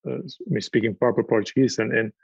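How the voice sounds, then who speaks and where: A man's voice: mid-range voice, steady tone, fairly quick, creaky, husky, dry recording. A man on a conference panel who talks with passion and often stammers and repeats words. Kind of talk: panel discussion. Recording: dull, dry, lo-fi sound.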